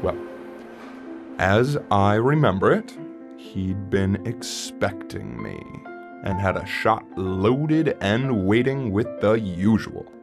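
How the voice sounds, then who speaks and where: Male actor as wistful father, audio play monologue, unhurried pace, reflective, conversational, dramatic pauses, music underneath. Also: lowish voice, big pitch swings, vocal fry, exaggerated voice. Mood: wry, reminiscing. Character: wistful father recounting a dark memory to his child. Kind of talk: first-person dramatic monologue, storytelling.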